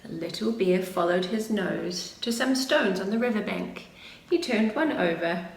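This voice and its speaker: Slow, lively woman reading a storybook. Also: medium pitch, far from the mic. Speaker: preschool story-time reader. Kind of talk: expressive children's storybook narration. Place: indoors, small plain room. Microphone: camera a short distance away. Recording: roomy, faint background noise.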